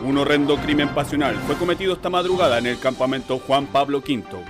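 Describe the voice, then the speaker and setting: A man's voice: medium pitch, fast talker, close to an everyday voice. A man playing a formal morning radio newsreader, reading a scripted bulletin. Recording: loud music bed behind.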